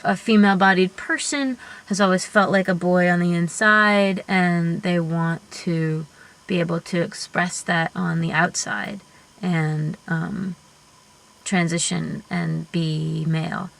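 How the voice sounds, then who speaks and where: Young female-bodied genderqueer vlogger, high voice, slow earnest pace, reflective and thoughtful, home video-diary delivery. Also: fairly even intonation, creaky, fairly bright tone, poor audio. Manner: reflective, earnest, thinking aloud with fillers. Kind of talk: personal vlog monologue.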